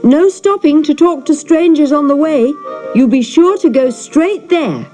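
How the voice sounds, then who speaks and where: A woman's voice: sits high, unhurried, dark tone, soft background music, pitched above the performer's own voice. A woman playing a caring but firm mother in a storybook, giving instructions to her child. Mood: firm warning.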